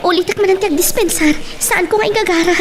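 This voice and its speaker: A woman's voice: very high voice, fast talker. A woman playing a polite, easily flustered young granddaughter with a Filipino accent in an audio drama. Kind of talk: amateur audio drama acting.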